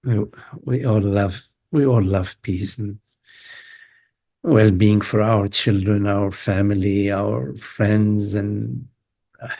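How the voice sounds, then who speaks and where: Older guy teaching satsang on a video call, low mellow voice, wanders and hesitates a lot. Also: steady tone, vocal fry. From Lebanon. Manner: meandering, halting, many fillers. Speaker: spiritual teacher. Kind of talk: satsang talk.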